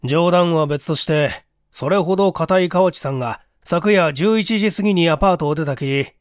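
A man's voice: a little high, normal speed, no echo, natural voice. A man as a wry senior clerk, dry sound. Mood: serious. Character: senior male clerk, dry, teasing, sensible.

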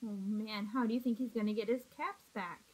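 A woman in her thirties reading a children's picture book aloud in an expressive, deliberate manner, recorded indoors at arm's length from a computer mic. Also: mid-range voice, husky, rough recording. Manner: expressive, deliberate, asks the young listeners questions. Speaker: storybook reader. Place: small indoor room with block walls. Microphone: webcam or laptop at arm's length.

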